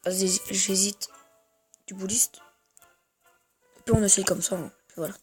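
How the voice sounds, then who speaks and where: A man's voice: high voice, steady tone, measured pace, soft background music. Man with a head cold, rambling. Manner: rambling, hesitant, talks to viewers. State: has a head cold, congested. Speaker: gamer. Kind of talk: casual gameplay commentary.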